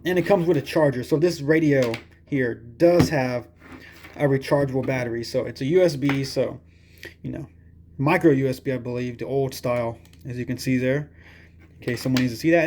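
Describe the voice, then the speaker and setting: Male product reviewer, soft-edged warm timbre, mid-pitched, informal and rambling, hesitant delivery. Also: slight background noise. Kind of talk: casual hands-on product review.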